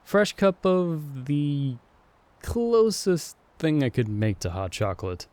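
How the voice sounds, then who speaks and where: A man's voice: a little high, big pitch swings, slow pace, darkish tone. A man playing a playful young man, the hero's friend who was summoned along with him, in an ASMR audio roleplay. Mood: cheerful. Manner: teasing, friendly and upbeat. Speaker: voice actor.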